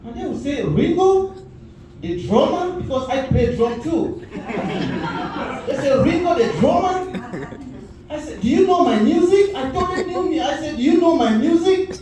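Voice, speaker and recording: A man's voice: very high voice, measured pace, long pauses, a crowd in the background. A man in his forties from Cameroon with a Cameroonian accent, telling stand-up stories and acting out quoted voices. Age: 40s. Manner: storytelling with lively voiced quotes. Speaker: stand-up comedian. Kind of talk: stand-up comedy set. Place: comedy club stage. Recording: echoey club audio from far off.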